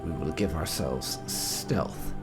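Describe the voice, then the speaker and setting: Man, deep voice, slow drawn-out delivery, casual gaming commentary, explanatory, game music behind. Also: lively intonation. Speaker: gaming video host.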